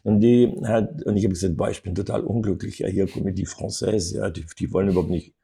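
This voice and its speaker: An older man telling anecdotes as an interview guest, in an animated storytelling manner with frequent little tag words. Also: sits low, fast talker, gravelly. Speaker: acting coach and interview guest.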